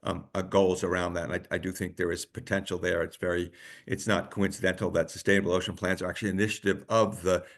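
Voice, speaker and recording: Deep-voiced man, halting webinar moderator. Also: flat delivery. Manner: halting, repeats words and stammers.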